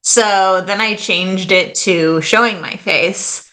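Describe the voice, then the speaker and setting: A woman's voice: mid-range voice, slow, pushed and bright, rough recording. A woman in her late twenties, a guest on a podcast, talking in a casual, chatty way full of "like" and "kind of". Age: late 20s. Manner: chatty, fillers like "like" and "kind of", laughs easily. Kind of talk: casual interview chat.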